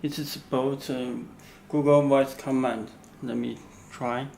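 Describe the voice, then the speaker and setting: A man who is not a native English speaker, giving an unscripted product walkthrough in halting, simple phrases with frequent pauses. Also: medium pitch, flat delivery, vocal fry, darkish tone. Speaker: product demonstrator. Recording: faint background noise.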